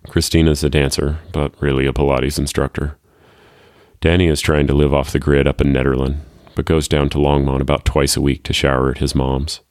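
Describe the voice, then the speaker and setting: A man playing a tired, wryly fatalistic parent working as a security guard narrates a short story. The voice is exceptionally deep and very dry in the recording. The delivery is measured and deadpan, full of resignation. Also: no echo. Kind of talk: reading a first-person short story.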